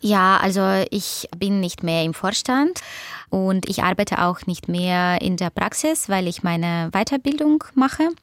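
Brief: woman from Ukraine, Russian-native Ukrainian accent, thoughtful, sometimes hunting for words; mid pitch, dry close mic. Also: animated, measured pace, no room sound. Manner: reflective, searching for words. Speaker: interview guest, author and doctor. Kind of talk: conversational interview answers.

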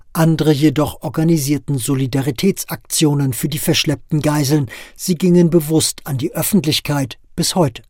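A man narrating a radio news feature in a measured, scripted reporting style. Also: on the high side, dry recording, clean studio sound. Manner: measured, even reporting delivery.

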